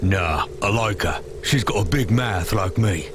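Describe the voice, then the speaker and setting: A man's voice: fairly low pitch, unhurried, background noise, lightly acted. A man voicing a brash but good-hearted android gang member in a video game, talking in laid-back street slang. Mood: laid-back, proud. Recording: music behind the voice.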